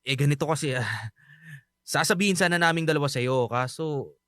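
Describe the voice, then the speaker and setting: A man's voice: fairly high pitch, fairly quick, a bit bright, no room sound, clean studio sound, lightly acted. An actor playing a cocky, playful young Filipino man in a Taglish radio drama, teasing and sheepishly hesitant. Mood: explaining, teasing. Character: Eros, cocky, playful young male friend. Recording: faint music behind the voice.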